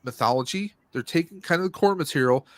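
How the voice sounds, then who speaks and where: A man co-hosting a live stream, giving casual movie commentary in a rambling, halting way as he searches for words. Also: fairly high pitch, lively intonation, no echo.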